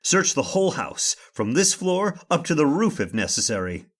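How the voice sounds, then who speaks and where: An actor playing a stern, stubborn master leading a search, speaking in commanding, impatient, formal old-fashioned phrases in the style of radio horror. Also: male voice, on the high side, very animated, moderate pace, a little hiss or hum. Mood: determined.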